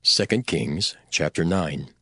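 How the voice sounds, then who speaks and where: A man narrating dramatized scripture as a solemn, expressive storyteller. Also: deep voice, takes it slowly. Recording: background music under narration.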